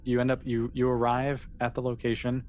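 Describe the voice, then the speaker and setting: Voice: medium pitch, monotone, fairly quick, a little mellow. A game master improvising narration for a tabletop role-playing game in an informal, conversational way.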